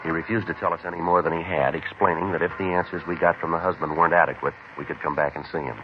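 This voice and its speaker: An actor playing a terse, businesslike police detective in a 1950s radio drama, clipped, polite and matter-of-fact. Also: male voice, sits low, fairly even intonation, fast, lightly acted. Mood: factual, clipped. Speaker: detective narrator and lead. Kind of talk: 1950s radio drama, documentary-like scripted narration. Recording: muffled, hissy old 1950s radio recording.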